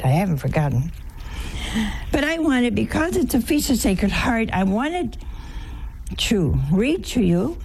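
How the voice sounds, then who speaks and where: A hoarse older woman hosting a TV program, teaching on faith in a folksy, casual way with chuckling asides. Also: low voice, a little mellow, slight background noise. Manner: folksy, chuckling asides, slow conversational delivery. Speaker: TV show host, nun.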